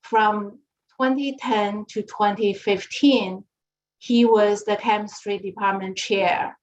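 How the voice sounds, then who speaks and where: A woman moderator reading a formal speaker introduction in a measured way. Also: medium pitch, fairly even intonation, a little hiss or hum. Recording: muffled lo-fi audio.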